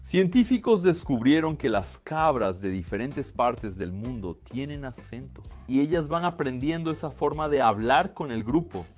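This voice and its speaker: A man hosting a casual science explainer, chatty and lively with quick exclamations. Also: mid-range voice, faint music behind. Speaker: science video host.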